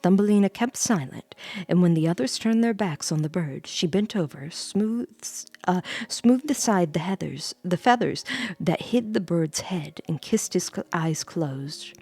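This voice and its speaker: Female storyteller, slightly low register with noticeable fry, reading a bedtime tale with casual asides. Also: animated, a little slow, dark tone, dry recording. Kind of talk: storytelling read aloud with chatty asides.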